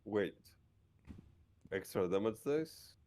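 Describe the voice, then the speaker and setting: A man's voice: on the low side, expressive, slow, mellow. A man playing a tabletop roleplaying game, chatting casually about the rules.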